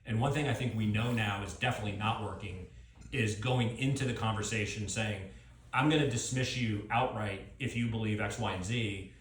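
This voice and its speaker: A man's voice: fairly low pitch, normal speed. A middle-aged man talking off the cuff as an author at a live event, reflective and often circling back to rephrase his points. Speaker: author speaking at a book event. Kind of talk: thoughtful extemporaneous talk and audience Q&A. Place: live book event with an audience. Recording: roomy, echoey live event sound.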